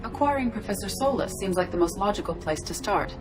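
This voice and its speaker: A woman's voice: medium pitch, animated, fast, music in the background, close to an everyday voice. A woman voicing a female starship artificial intelligence in a video game, formal, precise and detached. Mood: calm, matter-of-fact.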